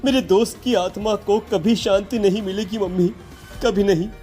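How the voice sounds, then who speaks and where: A man's voice: sits high, steady tone, normal speed, dark tone, faint music behind, lightly acted. A man voicing a distressed teenage son who reproaches his mother in a dramatized moral story. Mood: anguished reproach. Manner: anguished, reproachful.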